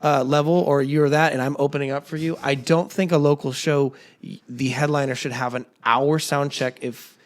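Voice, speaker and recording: A man hosting a casual chat with his bandmates, rambling through stories with many fillers and restarts, his voice a little raspy. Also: a little high, steady tone, moderate pace. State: slightly raspy voice. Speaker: podcast host and band singer.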